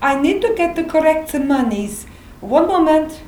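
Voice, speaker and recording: Woman acting a very high-voiced, stilted, vengeful character. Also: lively intonation, unhurried, a little mellow. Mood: puzzled. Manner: stilted, literal, uncontracted phrasing. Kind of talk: acted dramatic sketch. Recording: echoey room with faint background noise.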